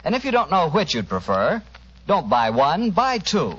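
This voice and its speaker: Animated American man, vintage radio announcer. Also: a little high, moderate pace, breathy edge. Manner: emphatic, conversational, repeats for emphasis. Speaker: radio announcer reading a sponsor's advertisement. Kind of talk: 1940s radio commercial, friendly sales pitch. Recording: muffled old radio recording.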